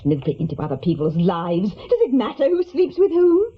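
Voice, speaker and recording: A woman's voice: medium pitch, huge pitch swings, moderate pace, husky. A woman playing a practical, reproachful middle-aged estranged wife in a radio play, speaking tersely and pointedly. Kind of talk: naturalistic radio drama acting. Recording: dull, lo-fi radio drama recording.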